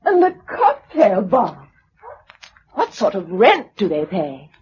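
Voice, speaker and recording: A woman's voice: mid-range voice, wildly swooping pitch, takes it slowly, a little mellow, slight background noise. An actress playing a spirited, well-bred young woman in a radio drama, with an upper-class British accent and refined, playful delivery. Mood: delighted, playful. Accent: upper-class British English. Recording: dull, muffled old radio recording.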